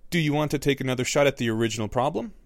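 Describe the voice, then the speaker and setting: A man's voice: mid-range voice, racing, dry recording, studio quality, close to an everyday voice. A man voicing a clever, theatrical young boy wizard who talks with confident, reasoning flair. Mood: composed.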